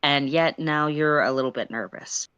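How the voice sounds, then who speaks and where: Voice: medium pitch, moderate pace, sharp tone, clean tone, no echo, muffled recording. A narrator reading a story aloud on a casual livestream, with playful, self-deprecating asides.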